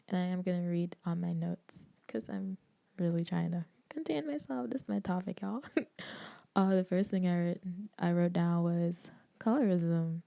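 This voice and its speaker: A young woman from the Bahamas, hosting her own podcast in candid, animated casual talk with frequent laughs and slangy asides. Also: fairly low pitch, creaky, dry recording. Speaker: podcast host. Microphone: desk microphone.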